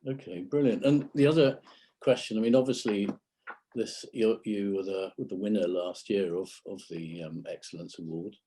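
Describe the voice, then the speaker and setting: A man's voice: on the low side, moderate pace, warm tone, husky, rough recording. A man hosting a remote interview, asking his guest questions in a polite, encouraging way. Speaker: interviewer, host.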